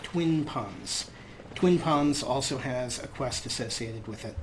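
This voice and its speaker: A man's voice: medium pitch, slow pace. Man, mid-range voice, casual let's play commentary, wry humor, game ambience and noticeable background noise. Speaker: gaming video host.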